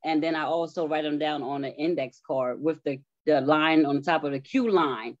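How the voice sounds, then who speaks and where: A woman's voice: sits low, moderate pace, no room sound, dull audio. A woman explaining things casually and step by step as a guest in an interview. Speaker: stage play cast member, interview guest.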